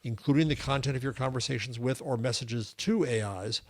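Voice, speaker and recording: A man's voice: medium pitch, a little fast, a little mellow. An older man co-hosting a podcast in casual chat, wry and digressive, telling stories. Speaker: podcast co-host.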